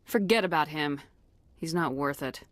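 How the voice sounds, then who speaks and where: No-nonsense lady commander actress, lowish voice, firm and direct, dry clean game dialogue. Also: normal speed, no echo, close to an everyday voice. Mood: curt, urgent. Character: composed, no-nonsense female military commander. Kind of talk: video game cutscene acting.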